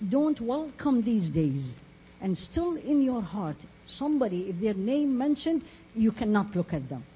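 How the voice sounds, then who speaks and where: Woman preaching earnestly through muffled audio. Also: fairly high pitch. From Iraq. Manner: earnest, emphatic, repeats phrases and asks rhetorical questions. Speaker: Islamic preacher and teacher. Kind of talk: religious talk with storytelling. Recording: muffled lo-fi audio with faint noise.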